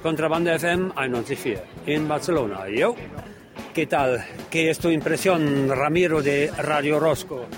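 A man's voice: a little high, normal speed. Male radio host and reporter over music. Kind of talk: radio reportage switching between German and Spanish.

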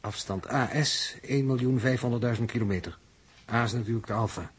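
A man's voice: mid-range voice, fairly quick, breathy edge. An actor with a Netherlands Dutch accent playing a precise, slightly touchy spaceship navigator in a 1960s radio drama, measuring out his figures. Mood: precise, insistent. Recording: noisy 1960s radio recording, clicks.

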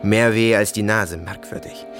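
An actor playing a brooding soldier haunted by family memories, in a halting, fragmentary radio-drama monologue. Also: male voice, lowish voice, fairly even intonation, over music, natural voice. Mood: wistful, musing. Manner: halting, fragmentary, repetitive musing.

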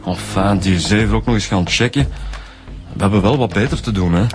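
Sceptical Flemish male detective played by an actor, fairly low, dry and conversational, clear music behind. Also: fairly quick, close to an everyday voice. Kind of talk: conversational radio drama dialogue.

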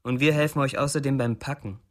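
Radio crime drama: male actor as young upstart gangster, domineering and sneering, mid-pitched voice, crude menace. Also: steady tone, fast talker, natural voice. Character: menacing young male drug-scene rival seizing power. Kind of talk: radio play acting.

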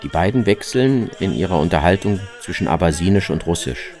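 Very deep-voiced male radio narrator. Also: lively intonation, fairly quick. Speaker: reporter and narrator of a radio documentary. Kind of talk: scripted first-person travel narration. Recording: music behind the voice. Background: music.

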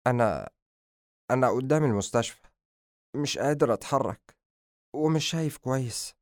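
A man's voice: mid-range voice, lively intonation, moderate pace, no echo, voice pushed up, close to an everyday voice. Man acting weary lovestruck young man, dry close sound. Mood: weak, dazed. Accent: Egyptian. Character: ailing, lovestruck young man, gentle and playful. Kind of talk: audiobook character dialogue.

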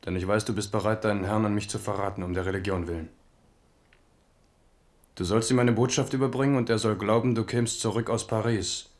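A man's voice: fairly low pitch, steady tone, fairly quick, natural voice. An actor playing an impetuous, mocking young king in a German radio drama, with a scornful, commanding manner. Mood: stern, controlled.

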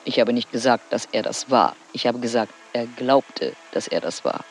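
An actress playing a spirited, evasive old widow in a German radio drama, with emphatic delivery. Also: female voice, a bass voice, normal speed, faint music behind. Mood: sly, defensive.

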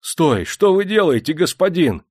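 A man's voice: a little high, expressive, normal speed, no echo, clean studio sound, voice pushed up. A man voicing a fervent, protective village priest in an audiobook, pleading urgently. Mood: urgent protest.

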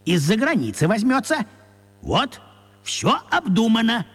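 A flustered, stammering fussy fellow. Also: male voice, sits high, big pitch swings, measured pace, a bit bright, slightly rough, long reverb tail, heavily acted. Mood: insistent. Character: fussy, excitable man meddling in the storytelling.

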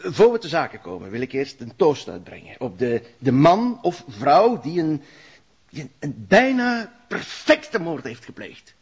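Casting: a high-voiced, swooping Flemish forensic expert, jovial, pedantic oddball, radio drama. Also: male voice, very animated, a little slow, slightly rough, exaggerated voice. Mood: gleeful. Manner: theatrical, rambling, repeats his words, pedantic. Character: eccentric, jovial male forensic expert, savouring a murder case. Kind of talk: audio drama acting. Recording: dull, muffled lo-fi recording.

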